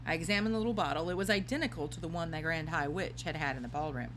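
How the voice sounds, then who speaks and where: A woman reading a children's storybook aloud in an expressive narrating style. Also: deep voice, fast talker, background noise. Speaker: storybook reader.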